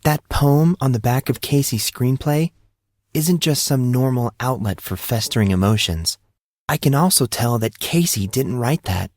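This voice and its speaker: Dude doing a teen girl, calm but bossy, raised pitch, dry close sound, audiobook story. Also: no echo, close to an everyday voice. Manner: collected, demanding. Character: calm, assertive, perceptive teenage girl. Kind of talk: audiobook drama reading.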